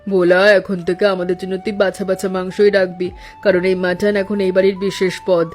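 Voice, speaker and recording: Woman playing a low-voiced, wise elderly mother-in-law. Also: flat delivery, a little mellow, soft background music, natural voice. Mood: approving, solemn. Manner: slow, reflective, proverb-giving. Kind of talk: cartoon story dialogue.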